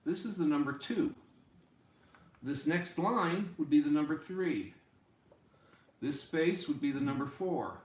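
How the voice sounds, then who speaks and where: A man in his sixties giving an informal music lesson, explaining deliberately and step by step in a small room. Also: a little high, expressive. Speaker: music teacher. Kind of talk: informal instructional explanation at a whiteboard. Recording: roomy, echoey home room sound.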